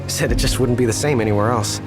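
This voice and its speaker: A man's voice: medium pitch, fast, lightly acted. An actor playing an earnest young man, chatty and deferential toward his king, in a video game cutscene. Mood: fond, explanatory. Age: young adult. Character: earnest, respectful young driver loyal to a returning king. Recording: music under studio dialogue. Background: game music.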